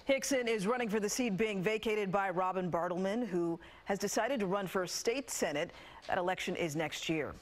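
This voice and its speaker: A woman's voice: medium pitch, normal speed. American woman anchoring a TV newscast. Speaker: TV news anchor. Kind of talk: scripted newscast narration.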